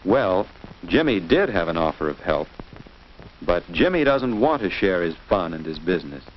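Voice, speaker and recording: An American man narrates a 1950s instructional film for children in a lowish, very animated voice. He speaks didactically from a script, posing simple questions to his young audience. The old soundtrack is dull and muffled. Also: moderate pace, slight background noise.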